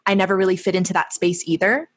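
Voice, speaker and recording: A woman's voice: medium pitch, steady tone, fast. A woman from San Diego in a casual talk, reflecting on her own experience. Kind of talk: casual chat.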